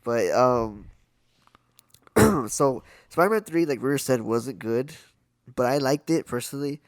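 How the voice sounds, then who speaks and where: Raspy-voiced young man reviewing films. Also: mid-range voice, lively intonation, dry recording, clean studio sound. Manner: chatty, quick asides and trailing remarks. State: hoarse from illness. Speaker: podcast co-host. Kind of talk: casual film review chat.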